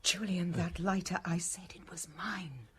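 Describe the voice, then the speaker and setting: An actress playing a guarded, anxious wife with secrets in a radio drama, tense and halting. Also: female voice, fairly low pitch, fairly bright tone. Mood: urgent, fearful. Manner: tense, halting, breathy urgency.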